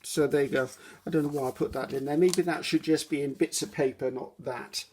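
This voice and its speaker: A woman's voice: sits low, steady tone, fairly quick, dark tone, a little hiss or hum. A woman hosting a casual craft video at her table at home, rambling and correcting herself with frequent ums. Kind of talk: casual chatty craft walkthrough.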